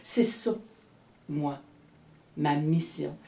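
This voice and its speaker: A woman's voice: low-pitched, steady tone, very slow, vocal fry, mellow, far from the mic. Québécois woman rambling healer in echoey room. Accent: Québécois French. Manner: rambling, many restarts and fillers. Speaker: energy healer guest. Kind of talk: casual interview.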